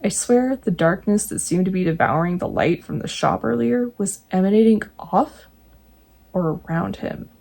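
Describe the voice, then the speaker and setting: A woman reading true ghost stories aloud, breaking in now and then with jokey, animated asides. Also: medium pitch, moderate pace, gravelly. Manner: animated, jokey asides between read passages.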